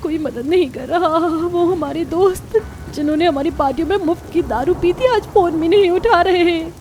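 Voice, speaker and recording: A woman's voice: extremely high pitch, normal speed, a little mellow, slight background noise. A woman voicing a spoiled, snobbish young wife in a cartoon, whiny and nagging.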